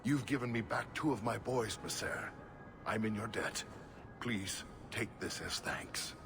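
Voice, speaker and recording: An actor playing a solemn, grieving older merchant father in a video game, with a gravelly voice. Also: fairly low pitch, a little slow, over music. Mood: grateful. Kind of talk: video game cutscene acting. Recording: noisy ambience behind the voice.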